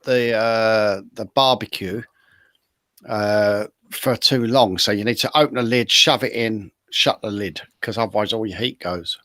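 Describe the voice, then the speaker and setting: Man, slightly low voice, casual practical advice, dry close sound. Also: measured pace, fairly bright tone, no echo. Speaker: show panelist, chef. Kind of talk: casual chat giving practical tips.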